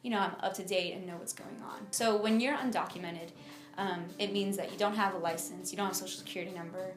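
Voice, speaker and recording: A young adult woman from Miami telling her personal story in an interview, speaking informally and haltingly with lots of filler phrases. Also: medium pitch, fairly even intonation, reverberant. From United States (Miami, Florida). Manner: informal, halting, frequent "like" and "you know".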